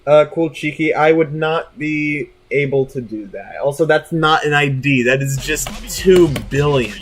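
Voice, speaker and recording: Male game streamer, lightly high pitch, off-the-cuff chat, self-deprecating and apologetic, background music. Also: measured pace. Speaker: livestreamer host. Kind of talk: casual gaming stream chat.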